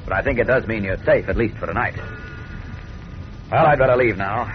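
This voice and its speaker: An actor playing a confident, reassuring superhero in a 1940s radio drama. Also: male voice, on the low side, a little fast, soft background music, natural voice. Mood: calm reassurance. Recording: muffled, faintly noisy 1940s radio recording.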